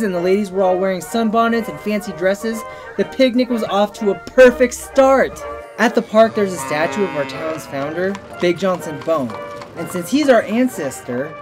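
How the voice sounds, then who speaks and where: A woman's voice: mid-range voice, normal speed, natural voice. A woman voicing an earnest, good-natured little male creature in a comic dub, talking like a chatty storyteller. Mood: storytelling. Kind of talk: fan comic dub voice acting. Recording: music behind the voice.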